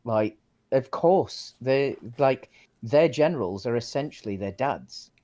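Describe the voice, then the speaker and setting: A man's voice: medium pitch, expressive, slow, warm tone. A man co-hosting a casual film discussion, thinking aloud in hesitant, circling phrases. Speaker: co-host of a film discussion show.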